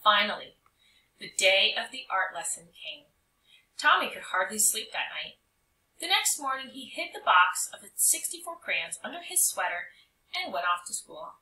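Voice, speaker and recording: A woman reading a children's picture book aloud in an expressive, animated way with emphatic phrasing. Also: medium pitch, normal speed. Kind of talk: expressive storybook read-aloud narration. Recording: roomy echo, distant mic.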